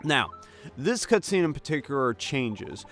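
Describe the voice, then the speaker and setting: A man talks through a video game in a casual, jokey way. His mid-pitched voice moves up and down in a lively manner, like someone chatting to viewers, with music playing behind him. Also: unhurried. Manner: jokey, conversational, slips into mock dialogue. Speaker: gaming video host. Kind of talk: casual game walkthrough commentary.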